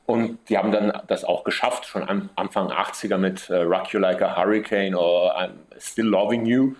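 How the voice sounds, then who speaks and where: A man's voice: sits low, steady tone, moderate pace. Hesitant Italian man speaking German. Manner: hesitant, many fillers and restarts. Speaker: guest musician. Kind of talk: casual interview answer.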